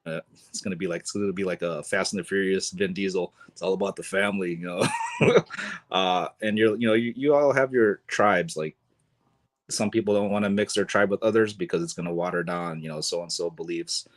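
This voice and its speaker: A man speaking as a guest on a podcast, relaxed and chatty, leaning on lots of fillers. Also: sits low, brisk. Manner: relaxed, filler-heavy, sprinkled with "you know". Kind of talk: casual conversation.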